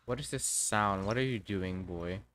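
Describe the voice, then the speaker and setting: A man live-streaming a game, thinking aloud in a casual, halting way with some mild swearing. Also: fairly low pitch, fairly bright tone, a little hiss or hum.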